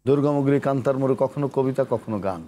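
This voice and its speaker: Mellow man hosting an interview. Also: medium pitch, fast talker. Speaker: talk show host, poet. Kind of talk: interview, prompting and quoting verse. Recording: faint background noise.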